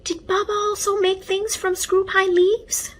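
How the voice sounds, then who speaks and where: A woman voicing a curious, excitable little boy in a children's storybook, eager and questioning. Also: extremely high pitch, measured pace, a little hiss or hum, pitched above the performer's own voice. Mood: gleeful.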